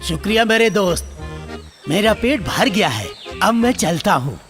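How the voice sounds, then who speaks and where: A man plays a male crocodile in a kids' cartoon, hungry and polite. His voice is high and very sing-song, with slow, friendly delivery over loud music. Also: sing-song. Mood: grateful. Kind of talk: children's cartoon dubbing.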